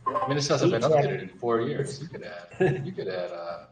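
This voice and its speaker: A man's voice: mid-range voice, very animated, moderate pace, noisy background. A man talking sports casually as a podcast panellist, dry and matter-of-fact. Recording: echoey, slightly distant mic.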